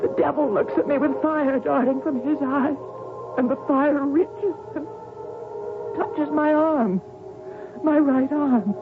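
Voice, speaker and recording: An actress playing an anguished, bitter young woman tennis champion in a halting, melodramatic radio drama style. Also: high voice. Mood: feverish, haunted. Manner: halting, melodramatic delivery with repetitions. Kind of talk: vintage radio drama acting. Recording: tinny lo-fi radio broadcast, music underneath.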